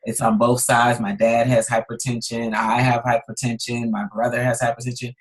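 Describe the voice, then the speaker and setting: A woman's voice: rumbling low, level tone, normal speed. Upbeat woman in her 40s, guyana-born brooklyn girl, chatty podcast guest. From Guyana, raised in Brooklyn. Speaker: guest chef and health coach. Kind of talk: casual podcast chat.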